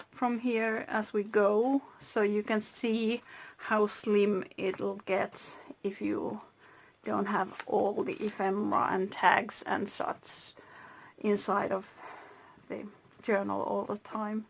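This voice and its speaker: Woman, Finnish native speaker, slow hesitant talk, soft whispered asides, faint hiss behind. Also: mid-range voice, little pitch movement, creaky, rough recording. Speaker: craft channel host. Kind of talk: casual crafting flip-through.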